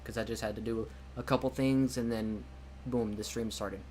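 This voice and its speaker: A young man streaming a game, chatting casually and rambling with many restarts, quick to laugh. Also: lowish voice, fairly even intonation, darkish tone, a little hiss or hum. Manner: laughs easily. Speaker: streamer playing a game mod.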